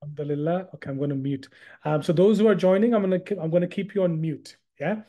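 A man's voice: high voice, quick pace, dark tone. A man in his thirties from Hong Kong, teaching an interactive online class over a video call, chatty and often repeating words as he asks the group questions. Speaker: Islamic studies teacher.

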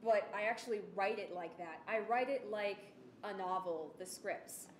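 A woman's voice: mid-range voice, moderate pace, slight background noise. Chatty young woman in a roomy space. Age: young adult. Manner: animated, chatty, self-deprecating. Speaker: student presenter of an audio drama project. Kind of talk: casual presentation. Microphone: desktop microphone on the table. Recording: roomy, echoey sound with music behind.

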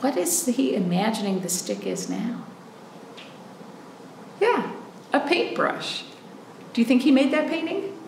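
A woman in her thirties, a children's librarian, reading a picture book aloud in an animated, playful way and asking the children questions. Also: high-pitched, a little slow, vocal fry, pauses often, echoey room, slight background noise. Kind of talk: storytime read-aloud for children. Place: library room in front of a painted wall.

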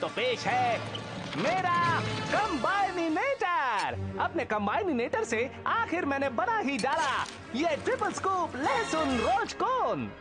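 A man's voice: extremely high pitch, very animated, slow pace, music in the background, full character voice. A man voicing a melodramatic, boastful middle-aged evil scientist in a cartoon dub, with a grandiose, long-winded delivery. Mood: boastful scheming.

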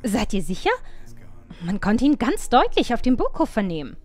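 A woman's voice: a little high, big pitch swings, moderate pace, darkish tone, soft background music. A woman playing an inquisitive young noble lady with gushing, ornate politeness in a fantasy audio drama. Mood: politely curious. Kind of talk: fan-made fantasy audio drama acting.

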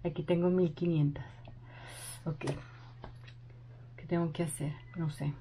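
A young woman chatting excitedly in a casual vlog, thinking aloud as she goes. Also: on the low side, unhurried, a little hiss or hum. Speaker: vlogger.

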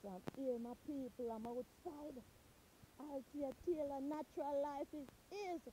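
A Jamaican woman vlogging on a farm, chatting in a lively, exclaiming way while filming on a handheld phone outdoors. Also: high-pitched, a little slow, slight background noise, rough recording. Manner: lively, exclaiming, repeats catchphrases. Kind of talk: casual farm tour chat. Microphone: handheld phone camera.

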